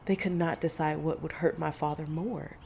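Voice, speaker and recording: A woman performs a middle-aged monster hunter in an audio drama. Her voice sits low as she recounts horrors in a grave, measured way. Faint noise runs under the recording. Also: steady tone, vocal fry, darkish tone. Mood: somber, tense.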